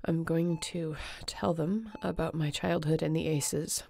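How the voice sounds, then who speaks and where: Woman acting as a female space captain, low-pitched, very creaky, tender, earnest, sci-fi audio drama. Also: fairly even intonation, warm tone, heavily acted. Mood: gentle, reassuring. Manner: emotional, halting, earnest. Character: woman space captain, tender, guilt-ridden, devoted to her crewmate.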